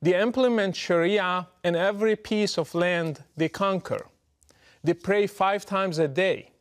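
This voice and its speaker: A middle-aged man from Morocco, giving a prepared, deliberate and emphatic address straight to the listener. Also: high voice. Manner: measured, emphatic, deliberate delivery. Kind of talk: prepared direct address to camera.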